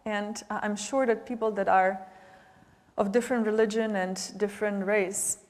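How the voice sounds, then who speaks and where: Bosnian-accented woman, 40s, panel speaker, steady monotone delivery, heartfelt with many pauses, long hall reverb. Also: mid-range voice. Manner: heartfelt, hesitant, many fillers and restarts. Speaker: panelist, nonprofit director. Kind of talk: earnest public remarks.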